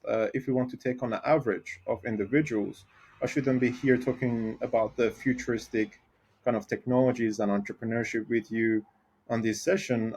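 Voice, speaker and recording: A man from Iran, a tech founder, talking through his story in a podcast interview, pausing often with ums and restarts. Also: mid-range voice, a little mellow, husky. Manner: hesitant, many ums and restarts.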